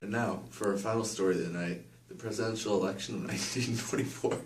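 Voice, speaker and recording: A man's voice: on the low side, fairly even intonation, fast. A man reading scripted news as the co-anchor of an amateur newscast. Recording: echoey room, distant mic, noisy.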